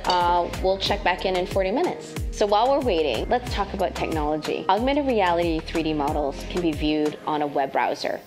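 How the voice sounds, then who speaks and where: A woman in her thirties hosting a casual cooking and tech vlog from her home kitchen, chatty and relaxed, with plenty of fillers. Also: low voice. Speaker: host and tech sales lead.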